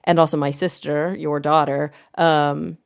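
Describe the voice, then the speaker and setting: A woman with a low, somewhat gravelly voice and an American accent tinged with Southern pronunciations. She hosts in a relaxed way, asking curious questions and nodding along with quick affirmations. The sound is dry and close-miked. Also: no room sound. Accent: American, slight Southern traces. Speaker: podcast host. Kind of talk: casual interview chat.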